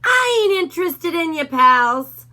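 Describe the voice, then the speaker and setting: A bullying male Doberman, as a woman reads him, drawls his threats slowly and with a snarl. The voice sits high and bright for a tough guy, cocky and sure he will win. A storybook villain. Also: very animated, heavily acted. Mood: menacing.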